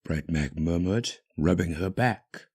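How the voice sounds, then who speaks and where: Deep, husky, expressive male storyteller. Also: slow pace. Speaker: fan-fiction narrator. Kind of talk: dramatic scripted story narration.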